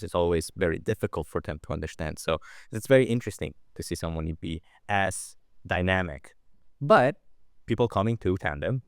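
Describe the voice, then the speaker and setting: A male podcast host with a very low voice talks in a relaxed, soft-spoken way. His tone is smooth and clear. The recording is dry and close. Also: no echo. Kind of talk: casual interview talk.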